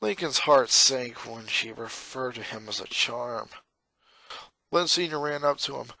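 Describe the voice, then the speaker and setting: A man's voice: mid-range voice, expressive, unhurried, gravelly, bright, forceful tone, no room sound, poor audio. A man reading a story aloud as a scripted narrator. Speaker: fan fiction reader, narrator.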